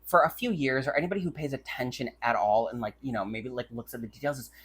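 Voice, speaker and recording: Low, husky-voiced woman chatting casually. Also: fast. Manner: conversational, heavy use of "like". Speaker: podcast co-host. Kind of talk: casual opinionated banter.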